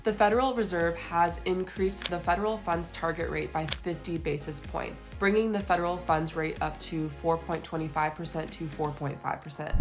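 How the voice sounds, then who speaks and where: A young woman, a real estate agent, giving a scripted market update to camera, her voice nasal from a cold. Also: low voice. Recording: faint music behind.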